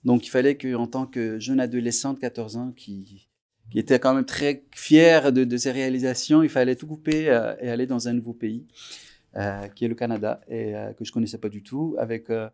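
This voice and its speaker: A man in his forties from Iran whose first language is Persian, a musician guest recalling his past in a relaxed interview, unhurried and reflective, often pausing to search for words. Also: medium pitch. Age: 40s.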